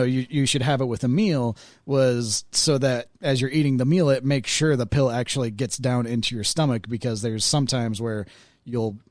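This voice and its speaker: A man under fifty chatting casually on a podcast, halting and correcting himself as he tells things. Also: medium pitch, a little mellow, no room sound, clean studio sound. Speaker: podcast co-host. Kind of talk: casual anecdotal chat.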